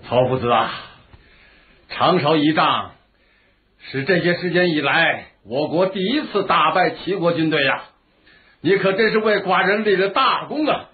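A man's voice: on the high side, animated, a little slow. An actor playing an eager, inquisitive male ruler in a radio drama who keeps asking and exclaiming. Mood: curious. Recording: tinny phone-like lo-fi audio.

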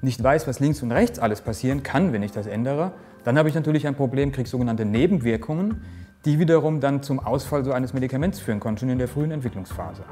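A man in his forties speaks as a research director, explaining his work earnestly with the odd filler and restart. His voice sits at a middle pitch with a mellow tone. He is recorded on a lapel mic in an office, with faint music underneath. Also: animated, fast. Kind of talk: interview statement. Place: office with microscope, indoors.

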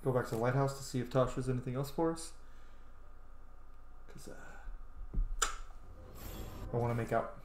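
A man's voice: mid-range voice, steady tone, moderate pace, pauses often, a little mellow, slight background noise. A man in his thirties giving casual commentary on a video game playthrough from a small room. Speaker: game streamer. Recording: echoey room, game music behind.

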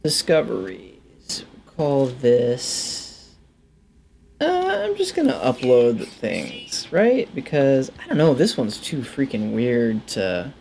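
Guy in his 30s doing a chill let's play, takes his time, pitch moves around a lot, faint game noise behind. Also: wildly swooping pitch, slow, creaky, a little mellow. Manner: enthusiastic, musing to himself. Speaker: gaming video host. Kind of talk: casual let's play commentary. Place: indoor room at a desk.

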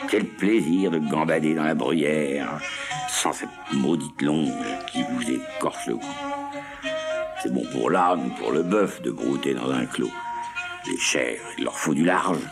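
A man voicing a young female goat, wistful and stubborn, speaking slowly and pleadingly. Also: extremely low pitch, vocal fry, music in the background, heavily acted. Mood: dreamy. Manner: slow, pleading and lilting.

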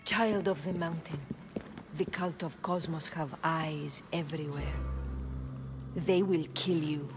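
An actress playing a solemn, fearful ancient oracle priestess, portentous and ceremonial, in a video game cutscene. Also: female voice, deep voice, steady tone, slow, over music. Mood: grave warning.

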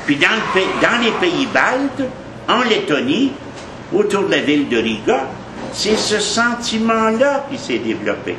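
A man's voice: high-pitched, very animated, a little slow. Emphatic Québécois man lecturing in a café. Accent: Quebec French. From Quebec. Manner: emphatic, conversational, repeats points for weight. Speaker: history lecturer. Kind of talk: informal history talk to a café audience. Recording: echoey café, noisy background.

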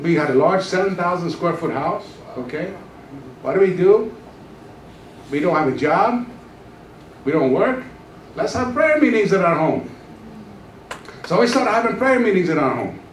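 A man's voice: high-pitched, slight background noise. A middle-aged man preaching a testimony sermon on a church stage into a handheld mic, acting out the dialogue as he tells it. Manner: animated storytelling, acts out dialogue. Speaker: guest pastor. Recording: echoey room from far off.

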